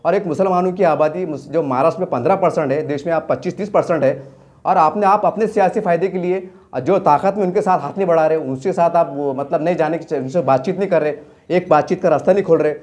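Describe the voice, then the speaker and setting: High-voiced man with Deccani accent, impassioned commentary. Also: quick pace, darkish tone. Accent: Deccani Urdu-Hindi of Maharashtra. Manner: rhetorical questions and emphatic repetition. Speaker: local news journalist and commentator. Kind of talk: impassioned off-the-cuff political commentary.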